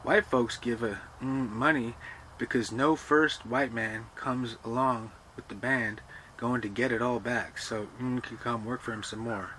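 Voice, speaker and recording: A man's voice: mid-range voice, fairly even intonation, unhurried, fairly bright tone, slight background noise, lightly acted, low-quality recording. A man voicing a tired servant who grumbles in Southern dialect. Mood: grumbling.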